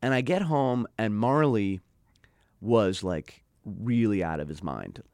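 A man's voice: fairly low pitch, lively intonation, slow pace, darkish tone, no echo, clean studio sound. A middle-aged man telling a story in a casual interview, chatty and full of "like", acting out what people said. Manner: chatty, lots of "like", acts out quoted voices. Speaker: guest.

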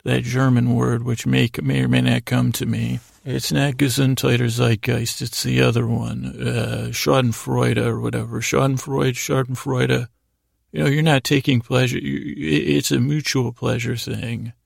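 A man with a heavily creaky, gravelly voice hosts a sleep podcast. He keeps his pitch fairly even as he meanders through halting, self-interrupted sentences. The delivery is lulling and mildly self-deprecating, recorded close and dry. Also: no echo. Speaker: podcast host. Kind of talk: rambling bedtime monologue to help listeners fall asleep.

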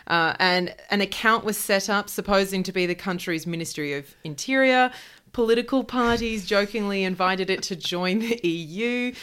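A woman's voice: mid-range voice, moderate pace, fairly bright tone, clear, resonant tone. A woman, chatting casually about the news as a podcast co-host.

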